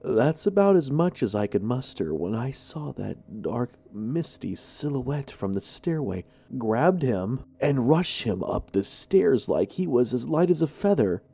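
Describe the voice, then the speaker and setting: A man narrates horror in a conversational first-person style. His voice sits at a middle pitch with a soft, mellow tone. He is expressive and animated, moving widely up and down as he tells it. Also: a little slow, vocal fry, breathy edge.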